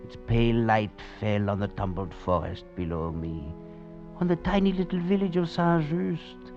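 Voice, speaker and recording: An actor playing a roguish adventurer on the run, in a theatrical, grandiloquent old radio drama style. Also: male voice, mid-range voice, lively intonation, moderate pace, natural voice. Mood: dramatic narration. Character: roguish, swaggering male thief and adventurer on the run. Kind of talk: 1940s-50s radio drama acting. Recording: muffled old radio recording with music behind.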